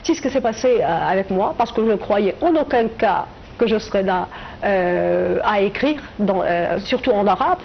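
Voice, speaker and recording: A woman's voice: mid-range voice, animated, creaky, dull audio, a little hiss or hum. Hesitant, reflective middle-aged woman from Tunisia. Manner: hesitant, reflective, many filler pauses. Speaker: writer and translator guest. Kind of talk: TV interview answers.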